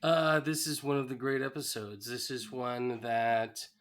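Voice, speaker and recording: A man's voice: medium pitch, unhurried, fairly bright tone. A man co-hosting a podcast, talking casually in an earnest, reflective way. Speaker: podcast co-host.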